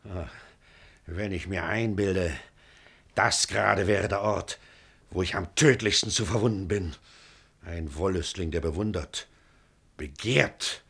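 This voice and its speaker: A man's voice: low-pitched, a little slow, gravelly, long pauses, fairly bright tone, breathy edge, exaggerated voice. An actor playing a stern, suspicious middle-aged father, proud and declamatory, in a classical radio drama. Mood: brooding anger. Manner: declamatory, severe. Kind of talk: classical stage-style radio drama acting.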